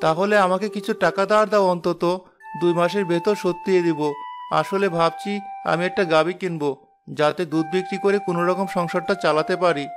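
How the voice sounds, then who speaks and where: A man's voice: high voice, fairly even intonation, brisk, close to an everyday voice. A man voicing a humble, poor village father in a cartoon, plaintive and pleading, with music behind. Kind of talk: moral-story cartoon acting.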